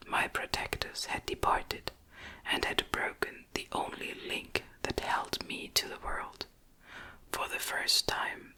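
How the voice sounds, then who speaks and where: A woman narrates classic gothic fiction entirely in a breathy whisper. Her delivery is gentle and very slow, with crisp, bright sibilance, made for ASMR listening. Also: low-quality recording. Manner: gentle, measured, literary storytelling. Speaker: audiobook narrator.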